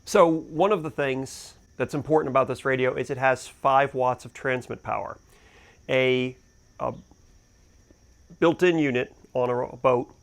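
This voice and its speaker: A man hosting a boating gear review, talking casually and off the cuff as he thinks aloud. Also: mid-range voice. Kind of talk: casual unboxing and review talk, unscripted.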